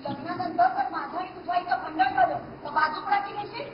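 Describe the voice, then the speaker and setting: A woman playing an excitable, choosy young woman looking for a husband in a comic stage play. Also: very high-pitched, fairly quick, a faint crowd, exaggerated voice. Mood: demanding. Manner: excitable, coaxing, demanding. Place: theatre stage. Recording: noisy muffled echoey stage, distant mic, audience.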